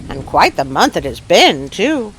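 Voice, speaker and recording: A woman hosting a story show, telling tales in an expressive, folksy, animated way to her listeners. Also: lowish voice, measured pace, slight background noise, low-quality recording. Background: music.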